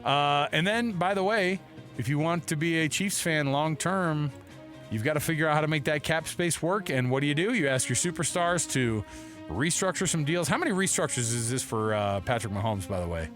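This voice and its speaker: An American man co-hosting a sports talk show, casual and slangy, joking and excitable as he gives his commentary. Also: fairly high pitch, moderate pace, soft background music. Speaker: sports radio co-host. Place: radio studio.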